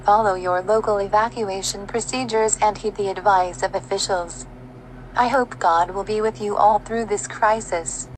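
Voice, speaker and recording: A woman's voice: mid-range voice, fairly even intonation, normal speed, noisy background, close to an everyday voice. A woman playing a solemn broadcaster, reading official disaster instructions in a formal, composed bulletin style. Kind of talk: scripted news bulletin.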